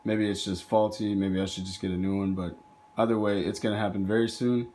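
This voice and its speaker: A man with a fairly low voice talks through a homemade project. He presents it casually as a video host, recorded indoors on a handheld camera. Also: little pitch movement, brisk, darkish tone, a little hiss or hum. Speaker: video host, hobby robot builder. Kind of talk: casual narrated project demo.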